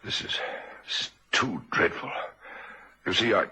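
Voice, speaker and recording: A man's voice: lowish voice, unhurried, bright, forceful tone, breathy edge, heavily acted. A man playing a courteous, concerned doctor in a vintage radio drama, speaking formally and with grave hesitations. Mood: shocked. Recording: dull, muffled vintage radio recording.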